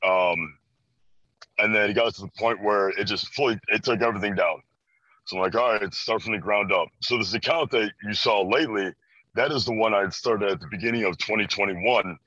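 A young American man in his early twenties, from Chicago, talks casually as a guest. His voice is on the low side but bright and forceful. The recording is close and dry, a bit dull and lo-fi. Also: fairly quick, no room sound. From Chicago, USA. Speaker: interview guest. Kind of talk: casual chat. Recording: dry, slightly dull band-limited audio.

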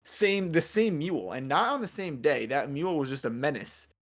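A man's voice: a little high, animated, moderate pace. Male co-host, casual gaming podcast; jokey, profane, rambling delivery. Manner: joking, swears freely, rambling with fillers.

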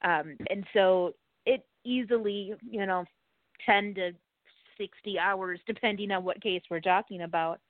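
Woman, mid-pitched, earnest conversational explainer, podcast interviewee, dry and slightly muffled lo-fi sound. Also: measured pace, no echo. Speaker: true crime podcast host being interviewed as a guest. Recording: band-limited, muffled call audio.

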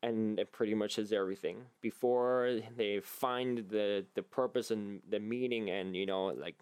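A man hosting a solo podcast, talking casually and reflectively in an earnest, halting way as he thinks aloud. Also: lowish voice, fairly even intonation, a little mellow, smooth, dry recording. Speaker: podcast host.

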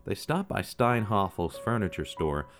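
A man giving scripted true crime narration, measured, with casual asides to the viewer. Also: on the low side, sing-song. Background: faint guitar music.

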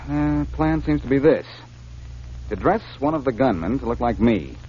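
An actor in a 1940s radio drama playing a cocky, wry, unflappable tough adventurer. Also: male voice, mid-range voice, animated, normal speed, close to an everyday voice. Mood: cool, sardonic. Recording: muffled, noisy old 1940s radio recording.

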